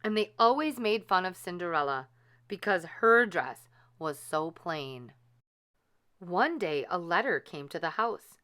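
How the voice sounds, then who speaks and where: A woman narrates a children's story with deliberate, expressive phrasing. Her voice is bright and slightly low, with a very lively melody and an unhurried pace. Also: smooth. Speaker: storybook reader.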